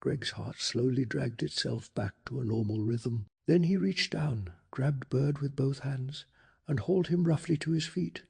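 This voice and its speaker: Soft-spoken, breathy man with heavy creak. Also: medium pitch, a little slow. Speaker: ASMR storyteller. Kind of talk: soft-spoken ASMR bedtime story narration.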